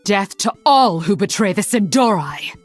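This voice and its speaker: An actress voicing a proud, sardonic blood elf rogue for a video game, with a haughty, cutting manner. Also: female voice, mid-range voice, expressive, a little slow, dry recording, faint music behind. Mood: scornful, menacing. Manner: haughty, cutting, dry teasing. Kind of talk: video game hero voice lines.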